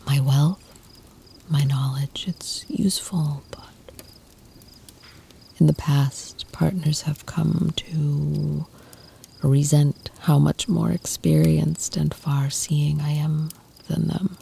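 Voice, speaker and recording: A woman voicing an ancient, tender and protective female vampire in an intimate ASMR roleplay, speaking softly, half in whispers, with formal, deliberate phrasing. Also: sits low, level tone, gravelly, slight background noise, exaggerated voice. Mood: wistful, reflective.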